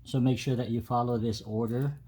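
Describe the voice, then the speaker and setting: A man giving a casual, unscripted step-by-step tutorial as a DIY host, with halting delivery. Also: medium pitch, fairly even intonation. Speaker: host of a DIY truck modification video. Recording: clear background noise, ambient sound.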